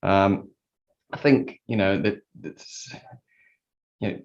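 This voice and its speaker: A man giving a conversational technical explanation as an interview guest, halting and repeating words as he thinks. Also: deep voice. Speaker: interview guest, loudspeaker designer. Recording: dull lo-fi sound.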